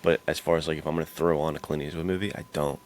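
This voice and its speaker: A man's voice: extremely low pitch, fairly even intonation, rapid-fire, slight background noise. A man hosting a podcast, chatting casually and slangily in a laid-back way. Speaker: podcast host. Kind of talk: casual chat about western films.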